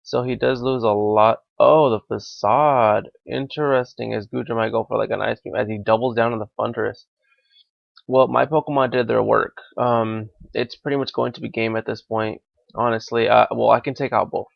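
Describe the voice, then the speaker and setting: A young man with a raspy, gravelly voice that is a little hoarse from being sick. He thinks out loud through a competitive match with plenty of ums and uhs. The sound is dull and lo-fi, with almost no room around it. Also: mid-range voice, fairly even intonation, moderate pace, no room sound. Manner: thinking aloud, with frequent fillers. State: slightly hoarse from illness. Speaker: gaming commentator. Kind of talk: live competitive game commentary.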